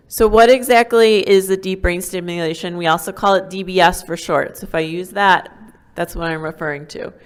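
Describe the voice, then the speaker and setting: A woman neurologist talks to a conference audience through a microphone. Her voice is smooth, clear and a little low. She explains things plainly and warmly, often pausing with "um". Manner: friendly, explains plainly with many ums. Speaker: neurologist giving a talk. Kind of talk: medical lecture to patients. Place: conference hall.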